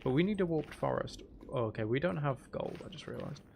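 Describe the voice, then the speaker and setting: A man's voice: fairly high pitch, animated, fairly quick, gravelly, a little mellow, a little hiss or hum. A man giving casual gameplay commentary, rambling and thinking aloud to himself as a gaming video host.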